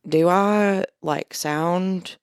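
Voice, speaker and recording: A woman with a deep voice co-hosting a podcast, chatting in a laid-back way and chuckling as she tells stories. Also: extremely slow, smooth, dry recording, clean studio sound. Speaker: podcast co-host. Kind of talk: casual chat. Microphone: studio podcast microphone.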